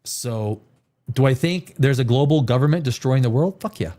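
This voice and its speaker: A man's voice: medium pitch, animated, normal speed, mellow. A man hosting a livestream chat, blunt and profane, hammering his points with repetition. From Canada. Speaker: livestream host.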